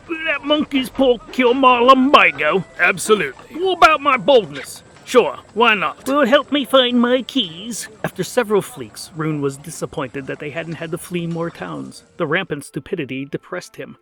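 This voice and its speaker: A man narrating a scripted comic fantasy story in a wry, theatrical way. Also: medium pitch.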